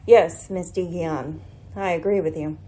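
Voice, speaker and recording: A woman playing a composed, incisive lady detective in a radio mystery, speaking in a deliberate, formal, commanding way. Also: lowish voice, steady tone, dark tone, husky. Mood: accusing, controlled. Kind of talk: radio mystery drama acting.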